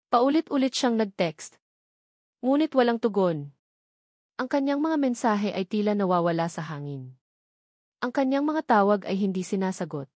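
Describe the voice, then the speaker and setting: A woman's voice: mid-range voice, a little mellow, no echo, studio quality. A woman reading a scripted love story as a dramatic narrator.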